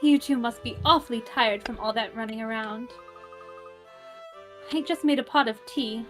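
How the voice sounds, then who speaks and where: A woman voicing a gentle, forgiving young girl in a puzzle game, sweet and polite. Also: a little high, normal speed, faint music behind, lightly acted. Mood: forgiving, resigned. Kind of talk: voiced game playthrough.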